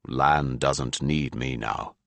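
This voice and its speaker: A man voicing a calm, thoughtful husband and father in an audiobook, speaking in a dry, measured way. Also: gravelly, dry recording, exaggerated voice. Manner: dry, low-key, measured.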